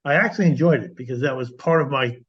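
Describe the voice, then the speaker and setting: Halting older American man, slightly dull audio. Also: mid-range voice, husky. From United States. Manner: halting, measured. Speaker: congregation member. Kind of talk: reflective personal testimony.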